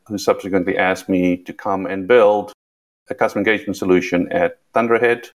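Middle-aged South African guest, very low voice, measured, repeats words at starts. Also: male voice. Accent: South African, somewhat Americanized with a British touch. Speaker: guest, tech executive. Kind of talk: podcast interview.

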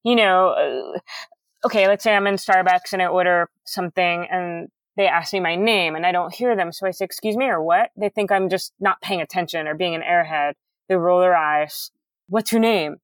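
A woman talks loudly and enunciates every word with care. She is earnest and animated, full of "you know" and "um". Her voice sits a little low, and the recording is dry and close. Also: normal speed, dry recording. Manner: loud, carefully enunciated speech; earnest, animated, many fillers. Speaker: guest. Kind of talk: candid conversational interview.

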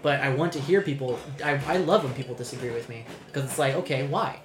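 A man's voice: mid-range voice, fast, over music. Candid, rambling young man in echoey room. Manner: candid, rambling, frequent restarts and swearing. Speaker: podcast host. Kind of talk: casual podcast chat.

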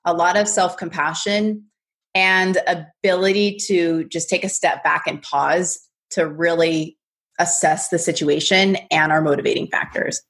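A woman's voice: fairly low pitch, fairly even intonation, unhurried. A woman hosting a podcast, talking in an encouraging, conversational way and often saying "like". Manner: warm, encouraging, uses "like" a lot. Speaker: podcast host. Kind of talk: casual conversation and scripted outro.

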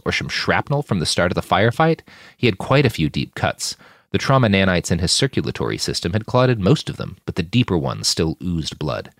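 A man's voice: low voice, dry recording, studio quality. A man reading a fiction story aloud as a dramatic audiobook narrator. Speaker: author narrating his fiction podcast.

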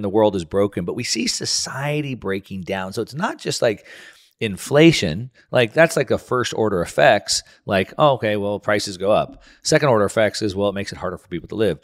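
A man's voice: lowish voice, dry recording, studio quality. A man hosting a radio talk show, speaking in an emphatic, conversational way. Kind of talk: radio talk show commentary with scripted ad reads.

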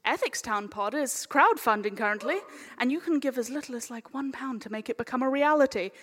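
A woman's voice: high-pitched, fairly quick, a bit bright. A woman chairing a live panel in a playful, chatty, informal way. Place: large indoor hall at a live panel event. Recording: echoey hall, live event.

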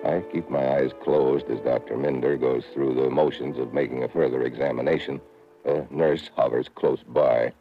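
Tough american spy in old radio show, super deep gruff voice, wisecracks, dull muffled sound. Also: male voice, steady tone, normal speed, soft background music. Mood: tense narrating. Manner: clipped, wisecracking. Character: tough, wry American male secret agent. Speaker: lead actor and first-person narrator. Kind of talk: 1950s radio drama acting, hard-boiled narration.

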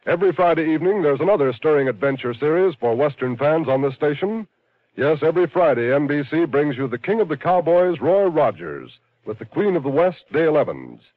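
A man's voice: fairly high pitch, sing-song, fairly quick, no room sound. A man delivering a polished, upbeat promotional announcement in 1950s radio style. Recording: tinny, band-limited old radio recording.

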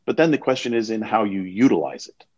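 A man speaking as an investor on an online panel, explaining his views in a reflective, measured way with some restarts. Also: fairly low pitch, animated, a little mellow, dry recording, dull audio. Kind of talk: conversational online panel talk.

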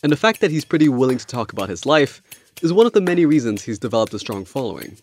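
A man's voice: mid-range voice, very animated, fast, a little mellow. A man hosting a podcast, reading scripted narration. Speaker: podcast host and interviewer. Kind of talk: scripted narration with interview chat.

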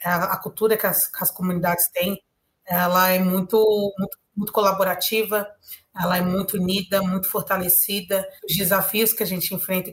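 A Brazilian woman in her thirties speaks as a podcast guest, reflective and often restarting her sentences. Her voice is low-pitched and her intonation stays quite flat. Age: 30s. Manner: thoughtful, restarts phrases. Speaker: guest, community organizer. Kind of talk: podcast conversation.

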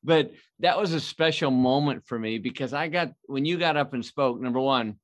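A man's voice: mid-range voice, moderate pace. Folksy, hyped-up man hosting. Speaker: podcast host. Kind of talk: casual, enthusiastic interview talk. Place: studio.

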